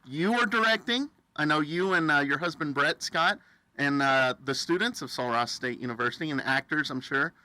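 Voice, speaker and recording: A man hosting a casual radio interview, with halting, hesitant phrasing. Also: a little high, bright, forceful tone, no room sound, rough recording. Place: radio studio, face to face with guest.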